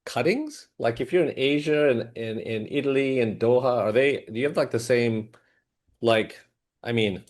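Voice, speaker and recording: A man hosting a casual interview. He asks questions in a halting way, often restarting mid-sentence and filling with "like" and "you know.". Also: mid-range voice. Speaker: host.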